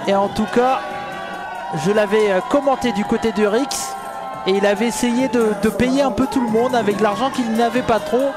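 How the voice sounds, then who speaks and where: A man from Brittany doing live wrestling commentary in a lively, chatty way, often turning to his co-host. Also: very high-pitched, moderate pace. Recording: loud crowd and music behind.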